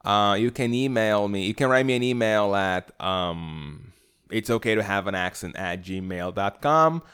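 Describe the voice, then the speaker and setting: Male podcast host, Portuguese native with a Brazilian accent; smooth, resonant, slightly low voice, easygoing and chatty. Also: measured pace. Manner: relaxed, chatty, many fillers and restarts. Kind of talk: solo podcast monologue.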